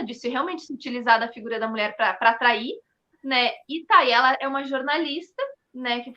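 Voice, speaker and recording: A woman talking reflectively as an interview guest, haltingly, thinking aloud with frequent checking tags. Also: a little high, sharp tone, muffled recording. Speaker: theatre company member, interview guest.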